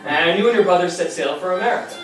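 A man's voice: sits high, quick pace, music in the background, natural voice. A young man acting as a fond, patient grandson in a memory play, speaking in a wry, reflective way. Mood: reflective, wistful. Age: young adult. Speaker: stage actor and narrator. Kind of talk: memory play monologue and dialogue. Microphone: far audience camera. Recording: very echoey theater, distant camera, faint noise.

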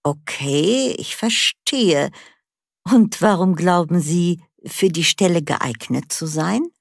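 A woman reading a low-voiced formal female interviewer. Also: animated, slow, creaky, dry recording, studio quality, exaggerated voice. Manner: formal, polite. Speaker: interviewer at a language school. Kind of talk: scripted learner dialogue.

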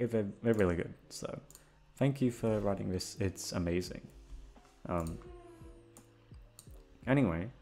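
A man's voice: low voice, a little mellow. A man talking casually to his listeners as an audio creator, in a loose, informal, rambling way.

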